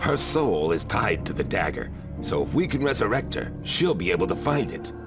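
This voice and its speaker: An American actor playing a gruff, wisecracking demon hunter trapped in a wooden dummy, with streetwise slang, in a video game. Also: male voice, lowish voice, sing-song, moderate pace. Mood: sardonic, confiding. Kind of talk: video game voice acting, hard-boiled tough-guy slang. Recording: loud music behind.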